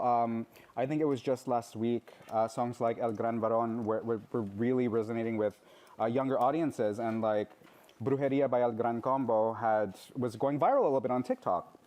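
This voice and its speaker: A man's voice: mid-range voice, normal speed, mellow. A man hosting a live event on stage, introducing guests and asking questions with frequent ums and uhs. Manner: hesitant, many ums and uhs. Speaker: event host.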